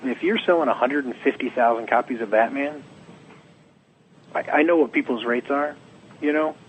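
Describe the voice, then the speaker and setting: A young adult man, a comics writer being interviewed, slightly hoarse, talking in a rambling, animated way and acting out quotes. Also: mid-range voice, moderate pace, a little hiss or hum, poor audio. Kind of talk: casual interview.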